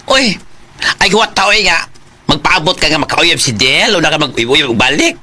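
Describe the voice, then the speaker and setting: A man's voice: a little high, animated, normal speed, bright, forceful tone, heavily acted. A man playing a flamboyant, bubbly, teasing friend and matchmaker in a Cebuano radio drama, with exclamatory theatrical delivery. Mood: teasing pep talk.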